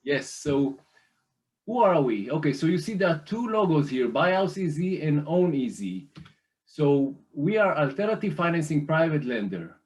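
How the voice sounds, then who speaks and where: A man from Israel with an Israeli accent, a guest walking listeners through a lending program on slides, speaking haltingly with many fillers and restarts. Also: fairly high pitch, big pitch swings, recorded from a distance. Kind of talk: slide presentation over a call.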